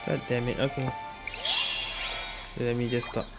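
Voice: mid-range voice, level tone, unhurried, vocal fry. A streamer giving casual commentary while playing a video game. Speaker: gameplay streamer. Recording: voice over game music.

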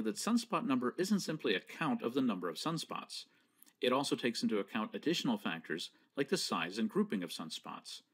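A man giving a scripted slideshow lecture, explaining each point in a measured, precise way. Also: medium pitch. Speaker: presenter.

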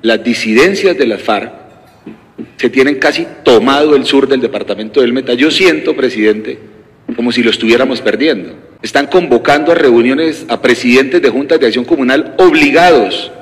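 A man giving a measured, formal, respectful address as a regional governor. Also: mid-range voice, big-room sound.

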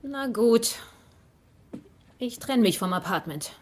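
Sharp, higher-voiced sitcom wife. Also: female voice, expressive, slow pace. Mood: insistent. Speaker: dub actor in a sitcom. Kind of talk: dubbed sitcom dialogue.

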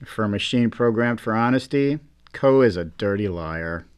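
A man's voice: lowish voice, expressive, normal speed, smooth, lightly acted. A man playing an affectionate, playful simulated astronaut father in a science fiction audio drama. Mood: playful, delighted.

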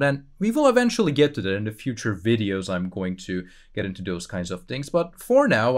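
A man hosting a casual software tutorial, thinking aloud in a conversational way with playful asides. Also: lowish voice. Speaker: tutorial host.

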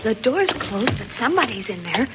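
An actress playing an eager, anxious high school girl in an old radio adventure serial, on a noisy vintage recording. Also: sing-song, normal speed. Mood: alarmed. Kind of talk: 1940s radio serial acting.

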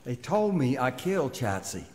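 An actor playing a tough career criminal with rough, slangy street talk, in a radio drama. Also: male voice, mid-range voice, big pitch swings, moderate pace, darkish tone, a little hiss or hum, natural voice. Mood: sullen, curt. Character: tough, broke career criminal, jailed, turning repentant.

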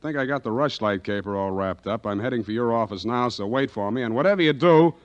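Actor as hard-boiled private eye, medium-pitched man, sardonic, cool, tough, 1940s radio detective drama. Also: animated, quick pace, no room sound, lo-fi sound, lightly acted. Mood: brisk.

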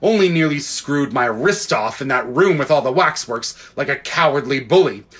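Man as young schoolgirl, prim, sharp-tongued, storybook character, lively swooping pitch. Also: normal speed, lightly acted. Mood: sarcastic. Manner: prim, sarcastic. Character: indignant young girl, sharp-tongued and practical.

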